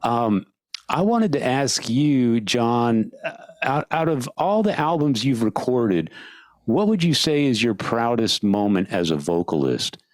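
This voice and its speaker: A man's voice: medium pitch, sing-song, unhurried, dry recording. A man hosting a podcast, asking questions in casual conversation from a room at home. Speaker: podcast host. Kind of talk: casual interview chat. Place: room at home with framed albums.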